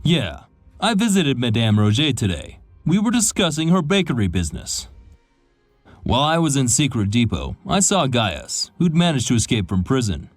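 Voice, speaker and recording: A man's voice: medium pitch, sing-song, moderate pace, dry recording, soft background music. An actor playing an old, slightly tipsy sea captain in a game cutscene, telling his story with a drawl. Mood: gruff.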